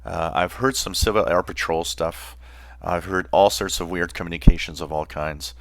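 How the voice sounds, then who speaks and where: A man hosting a hobby channel, explaining informally in a halting way with pauses mid-phrase. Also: low-pitched, fairly even intonation, no echo. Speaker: host of a shortwave radio channel.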